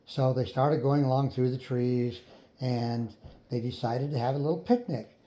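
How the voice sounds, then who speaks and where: An older man improvising a children's story in a folksy, unhurried way, pausing for others to join in. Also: mid-range voice, steady tone, dark tone. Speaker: grandfather storyteller.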